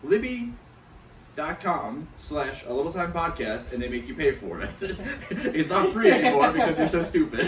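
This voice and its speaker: A man co-hosting a comedy podcast, trading crude jokes with loud bursts of laughter. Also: fairly high pitch, big pitch swings, a little slow, roomy sound, recorded from a distance, crowd noise. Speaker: podcast co-host.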